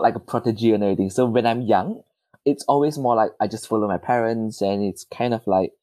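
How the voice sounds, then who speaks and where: A man's voice: on the low side, dark tone, dry recording. A young man in his twenties from Malaysia, answering interview questions in a hesitant, modest way and often restarting his words. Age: 20s. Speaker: guest.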